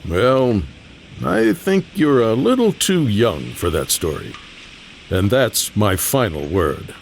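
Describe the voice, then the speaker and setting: Proud, teasing old grandfather, creaky and animated fantasy storyteller over background ambience. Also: fairly low pitch, takes it slowly, exaggerated voice. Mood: teasing, fond. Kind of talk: fantasy audio drama acting. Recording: clear background ambience noise.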